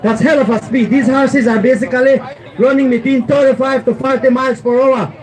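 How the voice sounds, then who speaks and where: Man, middle-aged, very high voice, live race call, outdoor track, handheld mic. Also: a little fast, poor audio. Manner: excitable, rising to shouts at the finish. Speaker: race announcer. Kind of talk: live horse race commentary. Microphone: handheld mic held close.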